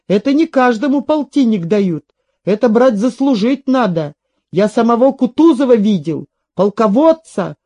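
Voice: medium pitch, a little mellow. A performer voicing a boastful, pathetic old veteran soldier with rustic, declamatory speech in a story reading. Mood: boastful, fervent.

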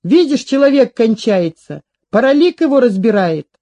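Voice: medium pitch, big pitch swings, normal speed, warm tone. A performer voicing a teasing peasant householder with rustic speech in a story reading. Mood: matter-of-fact. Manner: rustic, teasing, feigned surprise. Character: mocking peasant man, master of the hut.

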